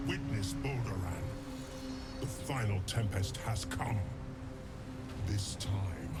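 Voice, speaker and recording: A man's voice: fairly low pitch, slow. A man voicing an ancient, vengeful undead dragon in a video game, declaiming in grand, wounded, accusing tones. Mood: wrathful. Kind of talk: dramatic fantasy game acting. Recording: loud music under the voice.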